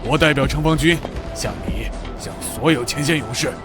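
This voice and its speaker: An actor voicing a scheming, self-preserving city military commander in a motion-comic drama, emphatic and officious. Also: male voice, medium pitch, normal speed, loud music behind the voice, natural voice. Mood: falsely sincere, defensive.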